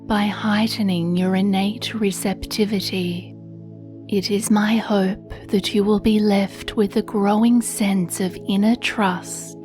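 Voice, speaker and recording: Slow, mellow woman guiding sleep meditation. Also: medium pitch, steady tone, soft background music. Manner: soothing, hushed, breathy delivery with long pauses. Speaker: meditation guide. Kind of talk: guided sleep meditation, scripted narration.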